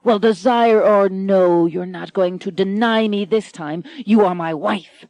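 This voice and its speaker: A woman's voice: medium pitch, measured pace, darkish tone, dry recording, lightly acted. A woman voicing a brutal, jealous duke in a melodramatic story, with a snarling, contemptuous manner. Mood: furious. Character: brutal jealous male duke, domineering husband.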